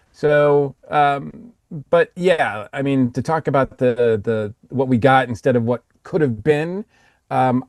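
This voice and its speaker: A man co-hosting a podcast, giving a casual review in a halting, thoughtful way. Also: medium pitch, a little mellow, dry recording, clean studio sound. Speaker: podcast co-host.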